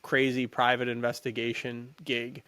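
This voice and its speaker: A man chatting casually as a podcast co-host, in slangy, halting talk with frequent chuckles. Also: mid-range voice, completely flat delivery, pushed and bright, smooth, dry recording. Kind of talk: casual movie chat.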